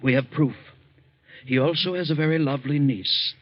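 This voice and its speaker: A man's voice: on the high side, moderate pace, close to an everyday voice. A man playing the cold, commanding leader of a secret society, formal and menacing, in 1940s radio drama style. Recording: muffled 1940s radio recording.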